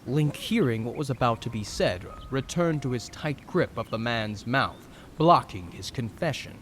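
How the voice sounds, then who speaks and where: A man's voice: medium pitch, lively intonation, normal speed, fairly bright tone, a little hiss or hum. A man giving dramatic scripted narration for a fan audio drama story.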